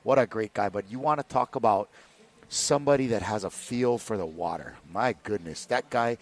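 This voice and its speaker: A man's voice: lowish voice, normal speed. Enthusiastic male race commentator from Hawaii. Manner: warm, enthusiastic storytelling between race calls.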